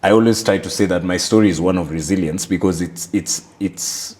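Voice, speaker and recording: A man's voice: low-pitched, darkish tone. A young man with a Kenyan accent, speaking reflectively as an interview guest about his life and work. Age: young adult. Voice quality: radio voice. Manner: reflective, measured, frequent "like" fillers. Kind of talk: conversational interview.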